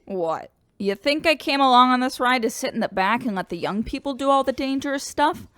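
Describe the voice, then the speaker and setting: A woman's voice: high-pitched, expressive, a little fast, a bit bright, clean tone, no room sound, studio quality. An actress playing a feisty, defiant woman in her eighties in an audio drama, blunt and scolding. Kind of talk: audio drama acting as an interviewee.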